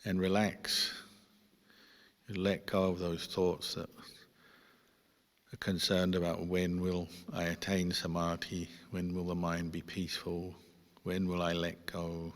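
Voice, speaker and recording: A man, a Buddhist monk, guiding a meditation with measured instructions and pauses between short phrases. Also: low voice, monotone. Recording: long hall-like reverb.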